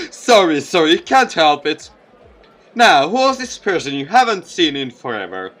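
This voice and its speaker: A man voicing a teasing, jokey male teacher in a visual novel, with a smug, playful, loud manner. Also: a little high, sing-song, slow pace, full character voice. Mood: amused.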